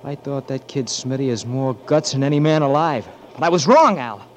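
A man's voice: medium pitch, animated, moderate pace, highs cut off, slight background noise, natural voice. An actor playing a loyal, blunt marine buddy who confronts his friend, in 1940s radio acting. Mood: confronting.

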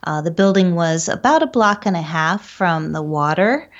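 A woman's voice: fairly low pitch, animated, normal speed, highs cut off. A woman speaking as an interview guest, recounting experiences in a conversational, explanatory way. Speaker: interview guest, paranormal researcher.

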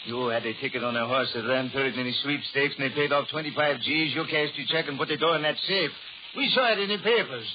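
An actor playing a brutal, sadistic American safecracker with slangy, taunting tough talk in a 1930s radio crime drama. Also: male voice, medium pitch, fast, close to an everyday voice. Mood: gruff impatience. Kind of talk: 1930s radio gangster acting. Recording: muffled, noisy 1930s radio recording.

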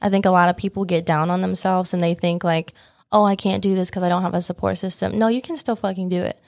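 A woman's voice: on the low side, fairly even intonation, fast talker, gravelly, a little mellow, no echo, studio quality. A young woman from northern Vietnam, a salon owner talking casually and bluntly about her business plans, swearing now and then. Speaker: lash salon owner, guest. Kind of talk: casual podcast chat.

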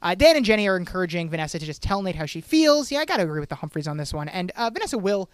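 A podcast co-host recapping a TV episode in casual chat, talking fast, swearing freely and acting out the characters' lines. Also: medium pitch, smooth, dry recording. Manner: fast, animated, swears, acts out quotes.

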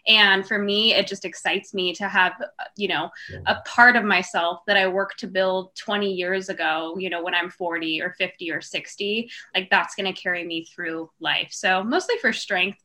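A woman's voice: lowish voice, steady tone, moderate pace, sharp tone. A woman in her twenties, a guest in a group podcast chat, speaking in an earnest, enthusiastic way. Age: 20s.

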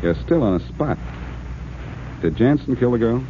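An actor playing a wry, tough, confident amateur detective with a wisecracking, pressing manner in a 1940s radio crime drama. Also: male voice, medium pitch, lively intonation, normal speed, lightly acted. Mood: stern, pressing. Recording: noisy, dull old radio recording.

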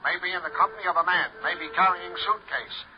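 An actor playing a brisk police sergeant making clipped, urgent announcements in an old radio crime drama. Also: male voice, sits high, close to an everyday voice. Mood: urgent, official. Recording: muffled hissy old radio recording.

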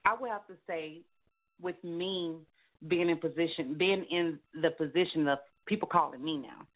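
A woman's voice: fairly low pitch, moderate pace. A woman musician as an interview guest, upbeat and chatty, repeating herself and using many fillers. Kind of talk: casual radio interview. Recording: tinny phone line.

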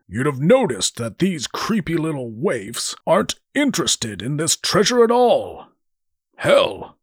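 A man's voice: a little high, breathy edge, no room sound, clean studio sound, voice pushed up, exaggerated voice. A man voicing a brash, greedy young halfkin brother who talks in a blunt, scolding way. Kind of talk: audiobook character voice.

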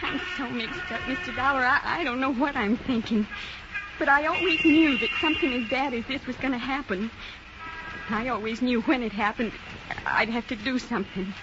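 An actress plays a troubled gangster's wife in an old radio drama. Her voice is highish, hesitant and plain-spoken, full of anguish. The recording is dull and clearly noisy. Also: normal speed, lightly acted. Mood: troubled, confiding. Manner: hesitant, plain unschooled speech. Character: anguished, frightened wife of a criminal, turning informer. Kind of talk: 1950s radio drama acting.

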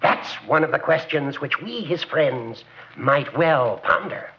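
An actor playing a fussy, pontificating older small-town judge in a 1940s radio comedy, wordy and orderly, on a muffled, noisy broadcast. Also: male voice, fairly high pitch, expressive, takes it slowly. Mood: earnest.

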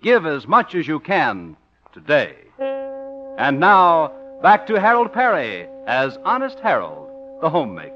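A muffled vintage radio recording of an American man announcing. His voice is on the high side and very animated in pitch. The delivery is formal and scripted, in 1950s broadcast manner. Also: measured pace, faint music behind.

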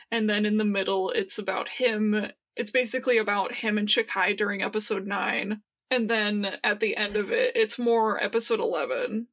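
A woman's voice: medium pitch, a bit bright. A woman co-hosting a podcast in casual fan discussion, with a wry, playfully exaggerated way of talking. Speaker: podcast co-host.

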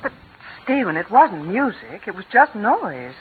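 A woman's voice: medium pitch, sing-song, unhurried. An actress playing a worried, strained stepmother with an earnest, pleading manner, in 1940s radio acting. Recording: tinny old radio broadcast with faint hiss.

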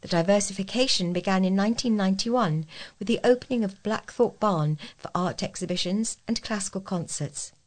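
A woman's voice: medium pitch, brisk. A woman reading scripted local news as a volunteer reader.

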